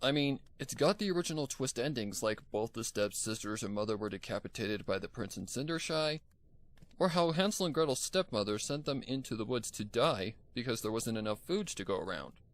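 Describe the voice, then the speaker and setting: A man's voice: mid-range voice, lively intonation, brisk, close to an everyday voice. Man voicing an earnest young stallion student. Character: young stallion pony, exasperated bookish college student.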